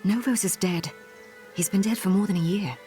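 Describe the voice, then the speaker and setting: An actress playing a dismayed, concerned neighbour in a fantasy game, urgent and disbelieving. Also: female voice, medium pitch, moderate pace, mellow, soft background music, natural voice. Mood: distressed.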